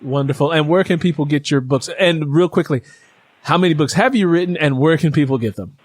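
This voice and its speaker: A man's voice: on the high side, fairly quick, dry recording. Male interview host with dry, close sound. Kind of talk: conversational interview hosting.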